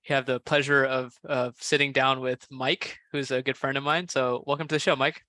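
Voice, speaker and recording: A man's voice: mid-range voice, flat delivery, moderate pace, pushed and bright, no echo. A man hosting a podcast interview in a casual, friendly way, laughing easily and restarting his sentences. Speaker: podcast host.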